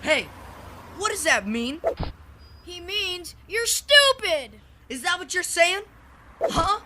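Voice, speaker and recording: Casting: woman as dim schoolboy bully, piping high child voice, indignant, radio play, heavy background noise. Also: very animated, slow, full character voice. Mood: confused, indignant. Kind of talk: audio drama acting. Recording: noisy, clicky recording.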